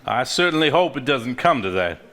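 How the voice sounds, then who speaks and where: A man's voice: medium pitch, sing-song, brisk, sharp tone. An actor on stage playing an earnest, level-headed married man who speaks seriously. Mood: solemn reading aloud. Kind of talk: live stage play acting, reading aloud from a journal.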